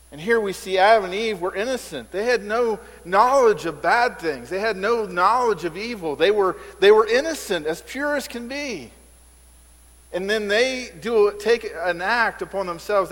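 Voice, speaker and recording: Man preaching in a reverberant hall. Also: a bit bright. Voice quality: says he has a squeaky voice. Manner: conversational preaching, repeats words, rises in emphasis. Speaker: pastor. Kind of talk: sermon.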